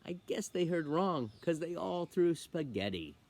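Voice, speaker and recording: A man's voice: on the high side, big pitch swings, a little slow, a little mellow, pitched above the performer's own voice. A man voicing a flustered, dismayed party host in a comic children's poem.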